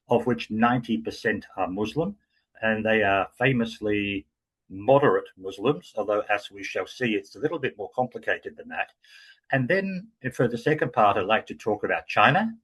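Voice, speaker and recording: A man with an Australian accent giving an explanatory talk as guest speaker, measured and with frequent fillers. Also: lowish voice, slightly rough, low-quality recording. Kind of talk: lecture-style talk.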